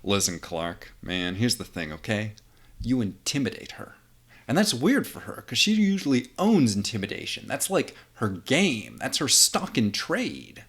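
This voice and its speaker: A man's voice: medium pitch, huge pitch swings, unhurried, fairly bright tone, breathy edge, heavily acted. Guy playing mid twenties office kid, slangy, nosy, friendly, audio drama. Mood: nosy, frank. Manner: slangy, probing, casual. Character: casual, nosy, friendly male copy boy of twenty-five.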